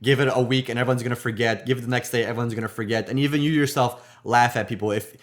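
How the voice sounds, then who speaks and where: A man speaks quickly and earnestly on a nursing podcast, tumbling over his own words as he restarts sentences. His pitch stays in a middle range. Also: fairly even intonation. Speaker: nurse podcast co-host. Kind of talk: casual podcast chat.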